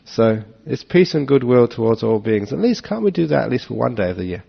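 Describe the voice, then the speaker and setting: A man giving a relaxed Dharma talk to an audience, joking and chuckling as he answers questions. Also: mid-range voice, fairly quick, gravelly, slightly rough. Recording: dull lo-fi talk recording.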